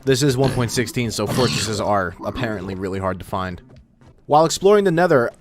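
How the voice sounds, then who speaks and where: A man's voice: fairly low pitch, lively intonation, brisk. A man narrating gameplay in a casual, informal way with wry asides, his voice run through a voice filter. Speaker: gaming video host. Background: background music.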